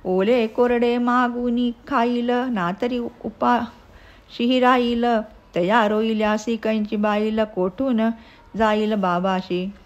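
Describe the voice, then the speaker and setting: Slow, high-pitched woman reciting verse. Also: fairly even intonation. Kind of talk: measured recitation of devotional narrative verse.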